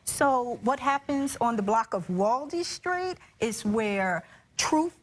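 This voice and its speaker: Slow-paced woman playwright in an interview. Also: a little high, expressive. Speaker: playwright and producer being interviewed. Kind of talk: earnest interview explanation.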